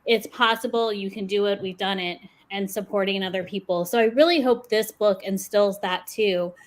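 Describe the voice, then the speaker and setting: A woman's voice: medium pitch, steady tone, normal speed. A woman in her forties chatting on a panel over a video call, upbeat and laughing between thoughts. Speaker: panelist, podcast host. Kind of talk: casual panel chat.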